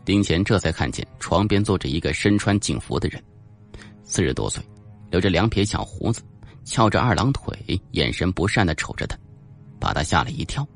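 Male audiobook narrator, deep and dramatic, lively intonation, music underneath. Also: normal speed. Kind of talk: scripted dramatic narration of a suspense novel.

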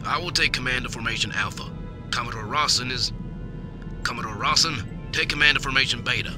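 A man plays a resolute admiral of a space fleet. He speaks formally and with command at a middling pitch, as in a science fiction audio drama, with clear music behind him. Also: fairly quick, natural voice. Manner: formal, commanding military delivery.

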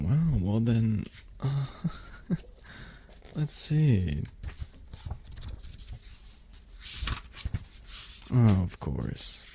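A man playing a teasing, affectionate young dragon boyfriend in an intimate ASMR roleplay, speaking softly and haltingly with breathy cooing. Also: fairly low pitch, exaggerated voice. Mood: gently teasing.

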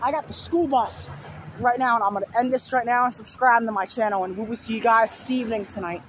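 A hoarse young man with a sore throat rambling through repeated simple lists in a casual vlog, filmed outdoors beside a road on a phone held at arm's length. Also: extremely high pitch, steady tone, fast, fairly bright tone. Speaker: vlogger. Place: outdoors on a fenced overpass beside a busy road. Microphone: phone held low at arm's length. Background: faint passing traffic.

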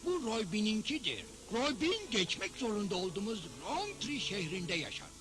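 A man voicing a talkative, indignant cartoon sidekick in a western dub, telling things in an expressive, explanatory way. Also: sits high, normal speed, over music, poor audio. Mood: indignant, wary. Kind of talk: dubbed cartoon acting.

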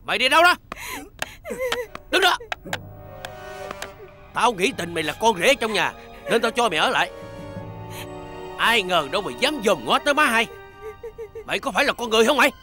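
A man's voice: sits high, sing-song, moderate pace, pauses often. An actor playing a stern, hot-tempered middle-aged father. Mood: angry. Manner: tender to his wife, furious to the rogue. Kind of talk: stage drama acting. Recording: music behind the voice.

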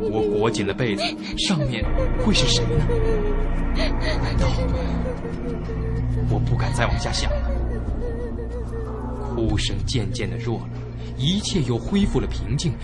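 Young male narrator-character, played by a man, horror radio play: suspenseful, animated intonation, loud music underneath. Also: mid-range voice. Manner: suspenseful, theatrical storytelling. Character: frightened young male traveller telling his story. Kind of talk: dramatic first-person horror narration, radio drama. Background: loud background music.

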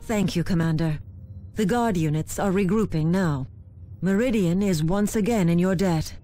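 Actress as mellow, formal game briefing officer. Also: female voice, lowish voice, moderate pace, soft background music, close to an everyday voice. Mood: formal, earnest. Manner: formal, earnest. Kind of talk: video game briefing voice acting.

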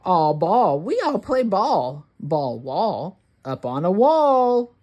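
Very slow, expressive woman reading aloud. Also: lowish voice, husky, muffled recording. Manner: deliberate, word-by-word, expressive delivery for early readers. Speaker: children's read-along host. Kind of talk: read-aloud of a rhyming picture book for young children.

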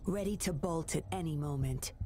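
Yinlin, a woman playing a sly, low-voiced double agent. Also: normal speed, lightly acted. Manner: teasing, coolly commanding.

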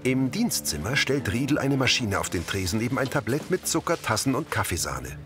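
A man's voice: medium pitch, sing-song, a little fast, over music. A man reading scripted present-tense scene descriptions as an audio description narrator.

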